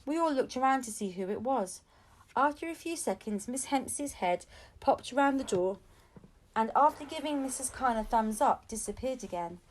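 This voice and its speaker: A woman's voice: high-pitched, animated, moderate pace, slight background noise, rough recording. A woman reading a children's story aloud as an audiobook narrator. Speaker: audiobook reader.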